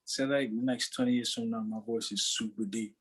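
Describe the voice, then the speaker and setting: A young man chatting casually in a slightly squeaky, husky voice, animated and slangy, often repeating himself. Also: a little fast. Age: young adult. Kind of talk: casual chat.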